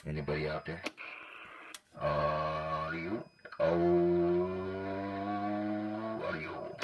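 A man's voice: extremely low pitch, little pitch movement, very slow, dull audio, over music. A man casually testing a CB radio at his workbench in short, clipped calls, muttering to himself as he goes. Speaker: CB radio hobbyist testing a vintage radio. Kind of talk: casual radio check chat. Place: cluttered indoor radio workbench. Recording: clearly noisy handheld recording with clicks.

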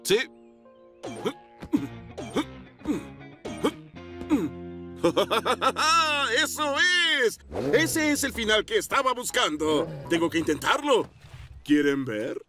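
A woman's voice: medium pitch, very animated, takes it slowly, sharp tone, faint music behind, full character voice. A woman voicing a cool, showy stunt motorcycle star in a children's cartoon, breezy and self-satisfied. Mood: excited. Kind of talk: dubbed children's cartoon acting.

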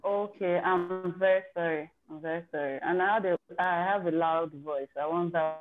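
A woman's voice: on the low side, steady tone, normal speed, over music. A woman speaking over a video call, her voice thin and phone-like. She tells her story in a candid, chatty way, circling back to fix her wording as she goes. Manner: chatty and candid, with self-corrections. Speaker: participant sharing her exam success story.

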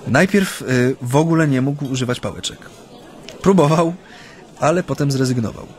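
An actor in a radio play playing a former union activist who recounts his memories in a hesitant, halting way. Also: fairly high pitch, natural voice. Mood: amused recollection. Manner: hesitant, halting, frequent drawn-out hums. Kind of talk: radio play acting, interview-like recollection.

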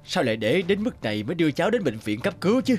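Stern, fast-talking cartoon doctor. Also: male voice, fairly high pitch, sing-song, faint music behind. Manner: brisk, authoritative. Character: stern, reproachful male doctor. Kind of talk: animated drama dubbing.

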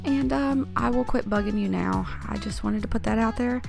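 A woman's voice: fairly high pitch, moderate pace, music in the background. A young woman vlogger chatting to her viewers in a casual voice-over, rambling with frequent ums and likes. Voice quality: gravelly fry. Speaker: YouTube vlogger.

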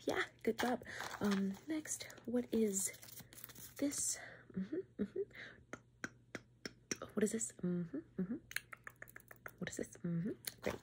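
A woman in her twenties doing an ASMR doctor's exam role-play, slipping between soft talk and whispering, close to the mic in a room at home. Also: mid-range voice, animated, slow pace, long pauses, noisy background. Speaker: ASMR creator playing a doctor. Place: small room at home, indoors.

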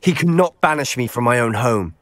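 An actor playing a defiant, proud teenage wizard in a game cutscene, insistent and emphatic. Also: male voice, mid-range voice, animated, fairly quick, fairly bright tone, natural voice. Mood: defensive. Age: teens. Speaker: game character. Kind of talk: video game cutscene acting. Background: music.

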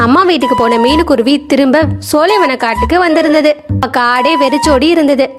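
Female storyteller for scripted children's tales, high voice, clear music underneath. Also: fairly quick.

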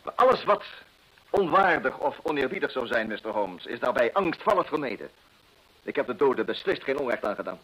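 A proud cornered gentleman in an old radio play, husky, formal, always justifying himself. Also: medium pitch, very animated, fairly quick. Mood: indignant, defensive. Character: proud, cornered gentleman defending his desperate deed. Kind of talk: Dutch radio drama acting. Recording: muffled vintage radio play recording.

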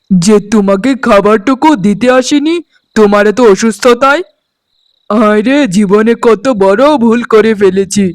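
A man's voice: high voice, no room sound. A man playing an angry, devoted young husband and son in a melodramatic, emphatic cartoon style. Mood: outraged. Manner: emphatic, scolding, dramatic.

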